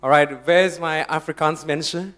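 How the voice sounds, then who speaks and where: High-voiced preacher. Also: male voice, moderate pace, slight background noise. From Indonesia. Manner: lively, jokey, laughs often, rises to shouts. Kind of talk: sermon to a live congregation.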